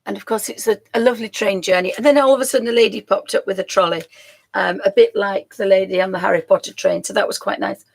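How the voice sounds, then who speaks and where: A croaky woman hosts a home live stream, talking in a loose, rambling way that often breaks into laughs. Her voice has a gravelly, rough edge and sits at an ordinary middle pitch. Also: fairly even intonation, fast. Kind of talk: casual live-stream chat.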